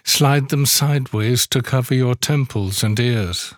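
A man guides a meditation in a medium-pitched voice, moving very slowly through each instruction. He leaves long, soothing pauses between phrases. The recording is close and dry, with almost no room sound. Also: no room sound. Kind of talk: guided yoga nidra meditation.

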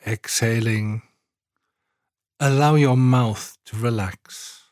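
Male meditation narrator, unhurried and calm, mid-range voice, soothing scripted guidance with generous pauses. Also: mid-range voice, no room sound, studio quality. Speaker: meditation guide, podcast host. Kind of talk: guided yoga nidra relaxation meditation, scripted narration.

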